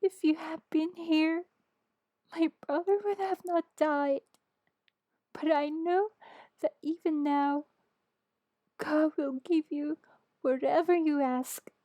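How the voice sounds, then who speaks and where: A woman reader voicing an earnest, grieving woman of faith in a scripture story, with a soft, pleading, reverent delivery. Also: sits high, fairly even intonation, slow, pauses often, dry recording, muffled recording, voice pushed up.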